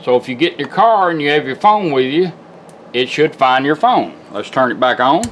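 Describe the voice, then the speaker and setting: A man's voice: mid-range voice, expressive, normal speed, a bit bright, slight background noise. A man giving a casual, hands-on product demonstration in a folksy, plain-spoken way. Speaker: car radio restorer demonstrating a product. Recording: music playing behind the voice. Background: music from a car radio.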